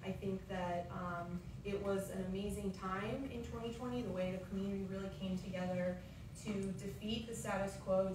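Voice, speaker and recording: A woman in her thirties, a city commissioner giving an earnest opening statement at a candidate forum with frequent ums. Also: mid-range voice. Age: 30s. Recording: echoey hall, far from mic, noisy.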